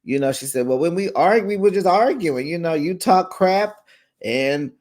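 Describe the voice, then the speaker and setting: A man's voice: on the high side, animated, normal speed, a little mellow. A man hosting a casual recap show, chatty and gossipy, acting out quotes in a raised voice and laughing along.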